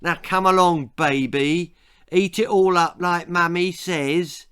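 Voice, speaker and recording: A man's voice: high voice, steady tone, slow, pushed and bright, clear, resonant tone, voice pushed up, full character voice. A man voicing a cruel schoolboy bully with a taunting, sneering manner, in a children's storybook reading. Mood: mocking. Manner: taunting, sneering, mock baby-talk.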